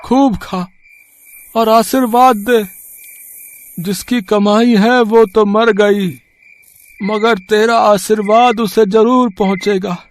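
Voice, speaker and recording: A man voicing a brazen, tipsy father of sixty in a story reading, philosophizing scornfully with drawn-out emphasis. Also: high-pitched, slight background noise. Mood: proud, tipsy. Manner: slow, drawn-out, emphatic delivery. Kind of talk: dramatized Hindi short-story reading.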